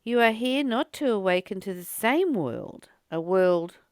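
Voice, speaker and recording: A woman's voice: medium pitch, lively intonation, unhurried, smooth, no room sound, clean studio sound. A woman reading a spiritual text and commenting on it as a teacher, earnest and exhorting, repeating phrases for emphasis.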